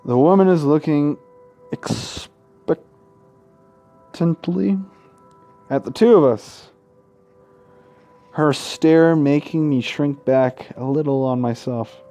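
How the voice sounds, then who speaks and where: A man's voice: a little high, slow pace, pauses often, mellow, soft background music. A man reading game narration aloud in a let's play, irreverent and sweary, often tripping over the words. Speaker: gaming YouTuber.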